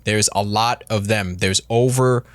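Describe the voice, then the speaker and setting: A man's voice: lowish voice, fairly even intonation, a little slow, no echo. A man giving casual, unscripted commentary as a video creator, talking bluntly with slang and swearing.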